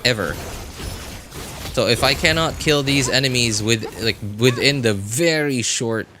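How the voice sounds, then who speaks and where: A man chatting casually as he comments on gameplay on a live stream, rambling and often correcting himself in a halting way. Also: mid-range voice, background noise. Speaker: game livestreamer.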